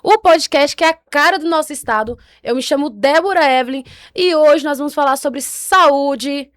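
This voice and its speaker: High-pitched Brazilian woman podcast host. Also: normal speed, no echo, clean studio sound. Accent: Brazilian, Goiás. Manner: chatty, exclamatory, slangy. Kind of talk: casual podcast hosting.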